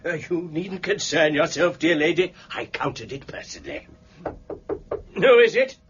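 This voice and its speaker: An actor playing an obliging, ingratiating bank manager in a period radio drama, speaking formally. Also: male voice, a little high, very animated, a little slow, slightly rough, dull audio, full character voice. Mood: obliging, brisk. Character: smooth, obliging old-fashioned bank manager.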